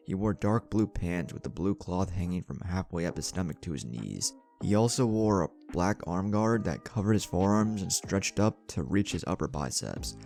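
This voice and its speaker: A man's voice: sits low, vocal fry, mellow. A man narrating a scripted action story in a dramatic storytelling style.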